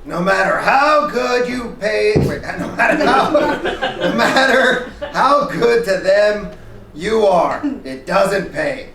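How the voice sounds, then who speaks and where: A man's voice: high voice, expressive, slow pace, fairly bright tone, pitched above the performer's own voice, full character voice. A man playing a blustering, bombastic, grouchy newspaper boss from a comic book. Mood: ranting. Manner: blustering, indignant, bombastic declamation. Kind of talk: comic book dramatic reading before an audience. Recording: echoey, distant live recording with noise.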